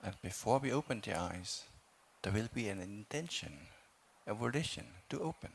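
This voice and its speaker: Noticeable background noise sits under a man's low voice with a gravelly creak in it. He leads a guided meditation as a teacher, giving calm, measured instructions. He leaves long pauses between short phrases. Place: meditation hall.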